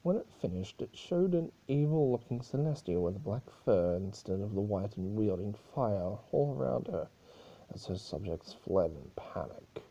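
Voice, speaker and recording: Very dark-voiced, gravelly man narrating dramatically. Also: lowish voice, expressive, unhurried, muffled recording. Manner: stumbles over words and rereads them. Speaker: amateur story reader.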